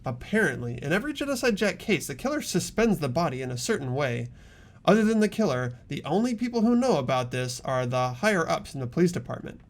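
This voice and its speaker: A man playing an animated, puzzled teenage boy. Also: a little high, fast. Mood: explanatory. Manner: thoughtful, tentative. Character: earnest, puzzled teenage boy student detective. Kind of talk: amateur game dub, dramatic reading.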